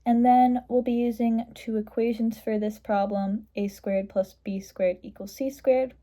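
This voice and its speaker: Woman calmly explaining math, slightly mellow voice. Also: fairly high pitch, unhurried. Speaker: math tutor. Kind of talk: step-by-step explanation of a worked math problem.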